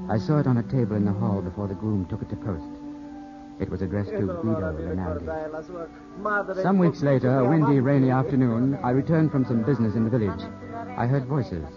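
A male actor as a proud, brooding young gentleman in a fifties radio play. His voice is fairly low and his speech formal and clipped, with hot-headed intensity. The sound is a dull old broadcast with music behind it. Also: expressive, a little fast, close to an everyday voice. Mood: somber narrating. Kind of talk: 1950s radio drama acting and first-person narration.

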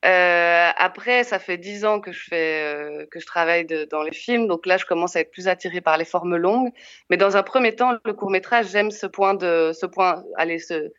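A woman's voice: fairly low pitch, moderate pace, a bit bright, no room sound, highs cut off. A Belgian woman film director, answering interview questions in a hesitant way with many fillers and restarts. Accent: Belgian French.

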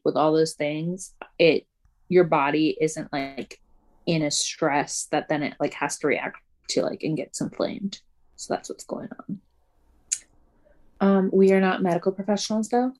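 A woman co-hosting a casual podcast, talking fast and loosely with lots of "like" and frequent laughs. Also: sits low, a little mellow. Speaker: podcast co-host. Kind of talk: casual chat.

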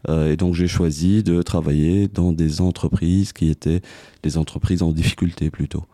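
A man in his forties from Tunisia, a business owner talking about his career as a podcast guest, halting often with fillers and restarts. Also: low voice, fairly even intonation, mellow, no echo. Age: 40s. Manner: hesitant, many fillers and restarts. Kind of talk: casual interview.

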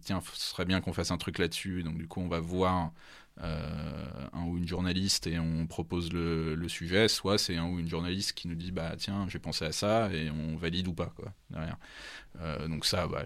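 Deep-voiced hesitant man, dry sound. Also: flat delivery, normal speed, fairly bright tone, dry recording. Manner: hesitant, many fillers and restarts. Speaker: magazine editor-in-chief, guest. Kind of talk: casual radio interview.

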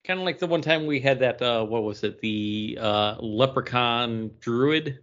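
A man's voice: mid-range voice, measured pace, fairly bright tone, lo-fi sound. A man co-hosting a podcast in loose, casual chat. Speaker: podcast co-host.

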